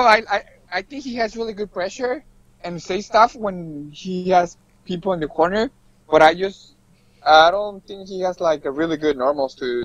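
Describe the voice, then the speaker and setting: A man giving casual game impressions as a podcast guest, in loose, halting phrases peppered with "like". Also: on the high side, fairly bright tone. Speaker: guest player on a gaming podcast. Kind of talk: casual game analysis chat. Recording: dull lo-fi audio in a roomy space.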